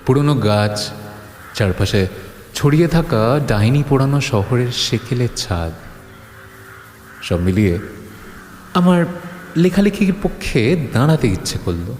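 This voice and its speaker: A man playing a stubborn, imaginative horror writer in an audio story, deliberate and argumentative, with dramatic pauses. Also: medium pitch, expressive, darkish tone, close to an everyday voice. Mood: brooding narration. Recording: clear background ambience noise.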